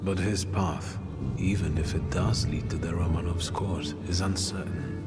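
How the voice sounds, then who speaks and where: An actor playing a brooding, resolute gentleman mystic in a dramatic video game, speaking tersely, gravely and deliberately. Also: sits low, fairly even intonation. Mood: pensive, resolved. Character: brooding, resolute young gentleman thaumaturge in 1900s Warsaw. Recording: loud music under the voice.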